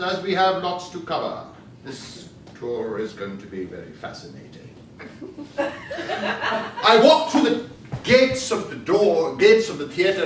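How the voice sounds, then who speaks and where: Animated man telling a tall tale. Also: high-pitched. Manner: animated storytelling, laughing often. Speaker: contest speaker. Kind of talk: tall-tale speech at a speaking club. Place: meeting hall. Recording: echoey hall, far from mic, faint noise.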